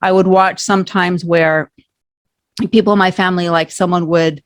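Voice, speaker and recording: A woman's voice: fairly low pitch, fairly even intonation, normal speed, no room sound, studio quality. A woman from Norway, a guest on an interview podcast, talking candidly and rambling a little. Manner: candid, rambling, laughs often. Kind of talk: casual interview talk.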